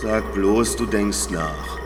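A man's voice: on the low side, takes it slowly, over music. A man playing the grumpy last human alive. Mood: sarcastic. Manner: sarcastic, grumbling, crude. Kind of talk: audio drama acting.

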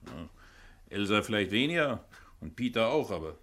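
A wry, chatty companion in a 1970s radio crime play. His voice sits a little low. He talks jauntily, making light of captivity. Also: sing-song, moderate pace, a bit bright. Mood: wry, resigned. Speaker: supporting actor. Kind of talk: 1970s German radio crime drama acting.

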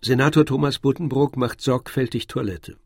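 Gravelly male radio drama narrator. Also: medium pitch, steady tone, moderate pace, a little mellow, dry recording, clean studio sound. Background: faint music.

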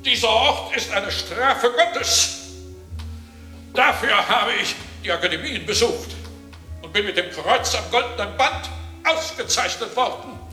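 An actor in a radio drama playing an embittered, pompous performer full of disgust, declaiming in a drawn-out theatrical way. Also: male voice, high-pitched, expressive, sharp tone, roomy sound, soft background music, heavily acted. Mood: aggrieved, despairing. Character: embittered pompous old-school performer disgusted by provincial life. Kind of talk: German radio drama acting.

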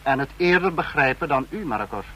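An actor playing a cold, calculating male security chief in a vintage radio drama, speaking in a measured, commanding way. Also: medium pitch, expressive, sharp tone, lo-fi sound, slight background noise. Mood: cold, brooding. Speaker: spymaster in a radio play.

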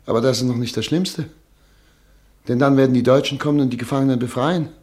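An actor playing a German émigré doctor who calmly foresees war and internment in a radio drama. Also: male voice, mid-range voice, fast talker, natural voice. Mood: fatalistic. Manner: subdued, fatalistic, earnest. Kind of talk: radio play acting.

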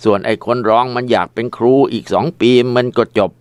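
A man's voice: medium pitch, steady tone, a little fast, dry recording, lightly acted. A man voicing a worried old father in a spoken story, giving urgent, fatherly warnings.

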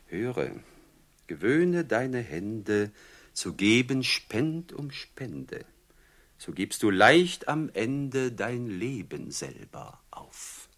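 An actor playing a falsely courteous, menacing robber chief who quotes poetry in an unctuous, theatrically drawn-out way, in a classic German radio drama. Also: male voice, medium pitch, big pitch swings, fairly bright tone, exaggerated voice. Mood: solemn reciting. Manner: unctuous, mock-polite, theatrically drawn-out phrasing.

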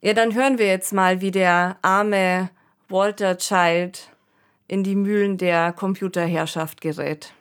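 A woman's voice: mid-range voice, fairly even intonation, unhurried, smooth. A woman co-hosting a podcast, explaining things in an earnest, conversational way. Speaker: podcast co-host, expert commentator. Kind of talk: conversational discussion.

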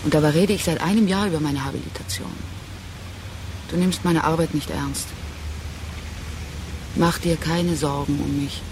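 A woman's voice: low voice, fairly even intonation, normal speed, long pauses, background noise. An actress in a radio drama playing a proud, reproachful woman leaving her partner, insistent and speaking in clipped, accusing phrases. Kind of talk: restrained radio drama dialogue.

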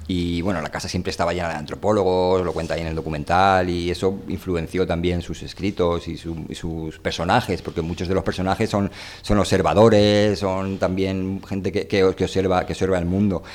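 Male radio host, very low voice, loose conversational delivery, gropes for words and repeats himself. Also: darkish tone. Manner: hesitant, repeating words as he searches for phrasing. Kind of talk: casual, rambling literary discussion.

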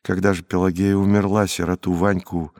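A man reading a literary story aloud as an expressive audiobook narrator. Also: deep voice, normal speed, big-room sound.

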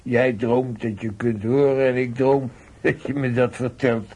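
A man's voice: mid-range voice, fairly even intonation, unhurried, a little mellow, breathy edge. An actor playing a grumbling, bewildered old woodsman in a radio drama, muttering and repeating himself. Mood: sleepy, puzzled. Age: older. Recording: noisy old radio play recording.

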